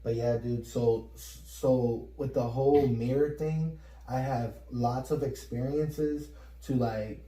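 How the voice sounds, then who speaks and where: A Puerto Rican man co-hosting a casual spiritual chat, energetic and repeating words as he gets going. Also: mid-range voice, takes it slowly. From Puerto Rico. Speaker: podcast co-host. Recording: roomy echo, mic at a distance.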